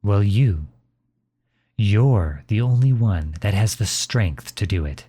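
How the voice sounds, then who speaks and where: A man playing a gentle, caring middle-aged father in an intimate ASMR roleplay, speaking tenderly and reassuringly to his child. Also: lowish voice, expressive, slow, slightly rough, full character voice. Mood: tender, encouraging. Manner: tender, reassuring, softly chuckling.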